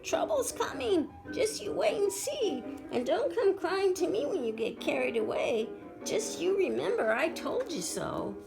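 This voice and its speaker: A woman voicing a tiny, anxious male hedgehog who frets and squeaks. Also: big pitch swings, unhurried, vocal fry, music in the background, heavily acted. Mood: nagging. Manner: squeaky, panicky.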